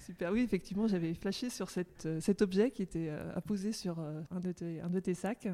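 A woman hosting a podcast, reading a scripted introduction and then interviewing a guest in a relaxed way with many fillers. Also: on the low side, brisk. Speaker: podcast host.

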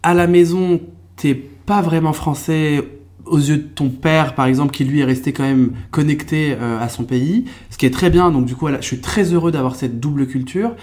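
A young man from France, a podcast guest, telling his life story in a relaxed, reflective way with lots of fillers and restarts. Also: a little high, moderate pace. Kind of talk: casual conversational storytelling.

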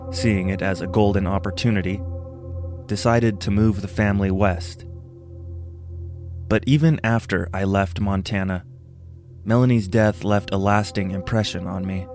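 A man reading a scripted first-person story as narrator, in a measured, solemn manner. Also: low voice, a little mellow. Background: music.

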